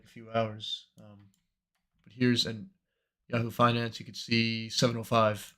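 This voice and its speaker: A young man with a cold, slightly hoarse, chatting in a relaxed, rambling way as host of a trading livestream. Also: on the low side. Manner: relaxed, rambling, many fillers. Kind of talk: casual livestream chat.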